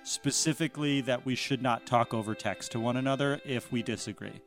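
A man reads a sponsor message for his podcast in a conversational way. His voice is mid-pitched, with a light music bed underneath. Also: brisk.

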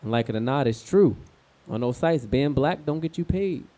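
Man acting young male escort, American accent, slangy, blunt, confiding, mid-pitched, radio play. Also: expressive, normal speed, dark tone, natural voice. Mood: candid, matter-of-fact. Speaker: radio show guest.

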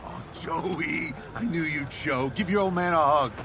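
A man's voice: fairly high pitch, a little slow, noisy background. An actor playing a hot-headed, obsessive middle-aged father with an American accent in an audio drama. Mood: excited.

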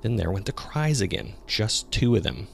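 A man playing a jogger who recounts a frightening experience in the woods, as scripted first-person horror narration told in a measured, suspenseful way. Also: on the low side, big pitch swings, gravelly, slight background noise. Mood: measured, uneasy. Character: local male jogger recounting a terrifying discovery in the woods. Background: ambient sound effects.

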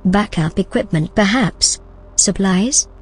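A woman playing a calm, formal and helpful artificial intelligence assistant in an audio drama, measured and matter-of-fact. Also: medium pitch, breathy edge, faint music behind. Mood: composed, helpful.

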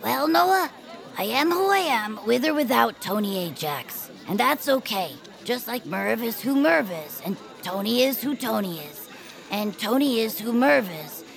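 An eager young bird-like girl fan in an audio drama. She is high and lively with a gravelly edge, and she rambles through tangled explanations. Soft music plays underneath. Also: measured pace, some chatter behind. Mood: earnest.

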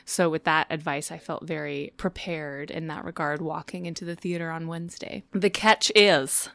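Smooth, low-pitched woman telling her story. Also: dry recording. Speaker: musical theatre performer, Broadway understudy. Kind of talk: first-person personal storytelling, reading own written account.

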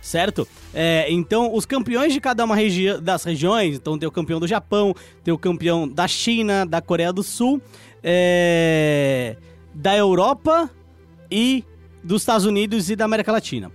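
A man's voice: high voice, moderate pace, soft background music. A man with a Brazilian Portuguese accent explaining esports news in casual co-host chat, often checking in with the listener. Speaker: esports show co-host and commentator.

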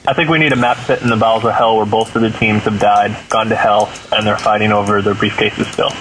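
A man's voice: lowish voice, fairly even intonation, fast talker, fairly bright tone, a little hiss or hum, poor audio. A man speaking as a guest on a gaming podcast in casual chat.

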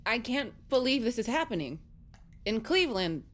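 A woman playing a blunt, exasperated high school girl with a sardonic manner in an audio drama. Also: sing-song, normal speed. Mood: disbelieving.

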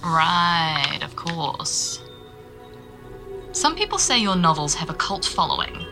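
A woman's voice: fairly low pitch, sing-song, slow pace, music in the background, full character voice. An actress playing a young, nervous and eager woman reporter in naturalistic audio drama dialogue. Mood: wry. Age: young adult. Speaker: young arts radio reporter and local guide.

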